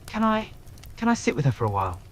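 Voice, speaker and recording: A man voicing an earnest, guilt-ridden teenage boy wizard in a fan audio story, speaking softly and hesitantly. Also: on the high side, wildly swooping pitch, quick pace. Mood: guilty, hesitant. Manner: soft, hesitant, nervous.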